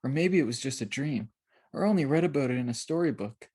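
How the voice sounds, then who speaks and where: Storybook girl pilgrim played by an adult narrator: gentle, earnest, childlike, lifted pitch. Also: expressive, fast talker, breathy edge. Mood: wistful.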